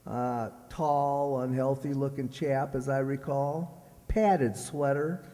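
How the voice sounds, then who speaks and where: An actor playing a vain, jealous, hesitant husband in an old-style radio comedy. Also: male voice, mid-range voice, steady tone, takes it slowly, dark tone, natural voice. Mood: defensive. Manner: boastful, hesitant, stammering. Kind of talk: old radio sitcom acting. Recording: long hall reverb.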